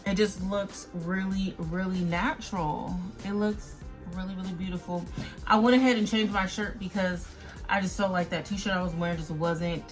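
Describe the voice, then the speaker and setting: A woman giving a casual review to viewers as a beauty vlogger, talking emphatically and often doubling her intensifiers. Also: on the low side. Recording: music playing behind the voice. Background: background music.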